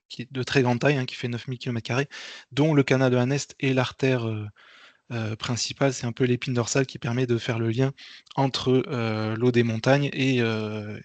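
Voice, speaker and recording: A man presenting an informal meeting briefing as an event organizer, speaking hesitantly and haltingly with frequent repeats. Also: mid-range voice, dry recording.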